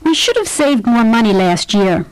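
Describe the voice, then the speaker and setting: A woman reading scripted practice dialogues for a language course, clearly and deliberately. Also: on the high side, sing-song, no echo, low-quality recording. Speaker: dialogue reader. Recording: dry studio sound.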